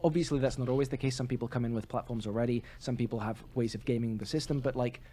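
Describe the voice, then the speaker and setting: A man's voice: medium pitch, steady tone, fast talker, mellow. A man co-hosting a podcast in casual, opinionated chat, emphatic and prone to swearing. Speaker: podcast co-host.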